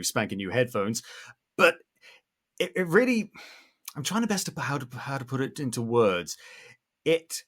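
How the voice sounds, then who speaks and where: Animated, bright-voiced male podcast host. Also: mid-range voice. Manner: effusive, animated, halting and searching for words. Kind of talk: enthusiastic conversational interviewing.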